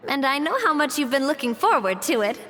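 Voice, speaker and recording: Bright-spirited, high-pitched young lady. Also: lively intonation, brisk. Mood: cheerful. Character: cheerful, generous, persuasive young lady organiser. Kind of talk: video game voice acting. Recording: reverberant, faint noise.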